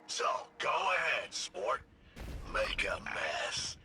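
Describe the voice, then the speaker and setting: A sly monstrous game villain with a deep voice and swooping pitch. He drags out his sardonic taunts over loud music. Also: big pitch swings, very slow, exaggerated voice. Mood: mocking, goading. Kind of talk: dramatic video game acting.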